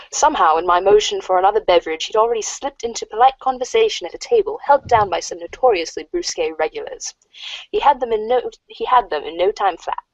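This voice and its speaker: A woman's voice: medium pitch, no echo. A woman in an amateur reader's theater cast, recorded in muffled lo-fi audio. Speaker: voice cast member.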